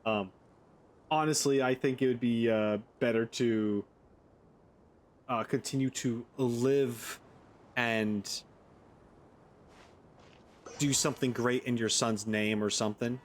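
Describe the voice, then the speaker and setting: A man's voice: medium pitch, steady tone, slow pace, long pauses, a little hiss or hum. A man hosting a gaming video, giving casual playthrough commentary and rambling as he thinks aloud.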